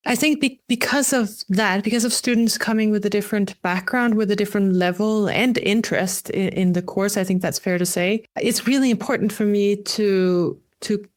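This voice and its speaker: A woman's voice: medium pitch, normal speed, hardly any pauses. Non-native English-speaking woman, explaining carefully. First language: not English. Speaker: guest, geography professor. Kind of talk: podcast interview, explaining own teaching.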